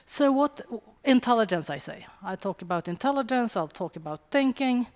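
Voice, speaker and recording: A middle-aged woman from Sweden with a Swedish accent, giving a wry, conversational conference talk with quick asides. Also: fairly high pitch, expressive, a little mellow. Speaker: conference speaker. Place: conference hall. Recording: long hall reverb.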